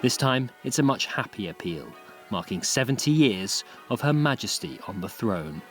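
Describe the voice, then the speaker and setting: A man's voice: fairly low pitch, animated, moderate pace, faint music behind. A man with a British accent reading scripted narration for a radio news feature as a reporter.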